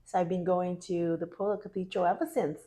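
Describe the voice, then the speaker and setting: A woman from Vietnam, a parish council member speaking as an interview guest, earnest and halting. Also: on the low side, steady tone, warm tone. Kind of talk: casual interview.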